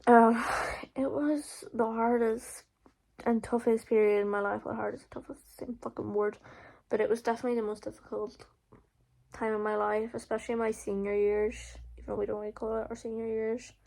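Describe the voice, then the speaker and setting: Gravelly young Irish woman vlogging. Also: fairly high pitch, flat delivery, a little fast, a little mellow, poor audio. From Ireland. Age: young adult. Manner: chatty, frequent "like" and "um" fillers, blunt swearing. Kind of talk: casual Q&A vlog.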